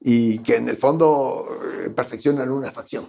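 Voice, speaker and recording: An Argentine man with a gravelly, mellow voice, talking as an interview guest. He reasons his way forward and stumbles over repeated words as he looks for the right phrase. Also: medium pitch, fairly even intonation, normal speed. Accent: Argentine Spanish. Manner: thoughtful, repeats words while searching for phrasing. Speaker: guest economist. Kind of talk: conversational interview.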